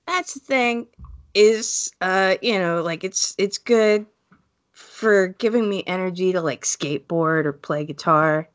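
A woman's voice: unhurried, dry recording. A woman co-hosting a casual comedy podcast, wry and teasing in her banter. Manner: teasing, wry, with sudden high jumps of pitch. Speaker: podcast co-host.

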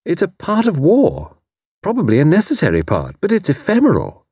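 A man's voice: fairly high pitch, huge pitch swings, moderate pace, darkish tone, breathy edge, no room sound, studio quality, pitched above the performer's own voice, exaggerated voice. A man voicing a sensible, kindly British woman, robust and earnest in her reassurance. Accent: British English. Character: brisk, kindly, sensible Englishwoman in wartime.

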